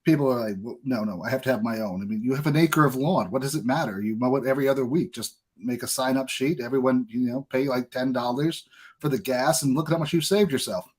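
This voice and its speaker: A man's voice: mid-range voice, fast talker, a little mellow, slightly rough. A man hosting a podcast interview, chatting casually in a talkative, opinionated way. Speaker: podcast host.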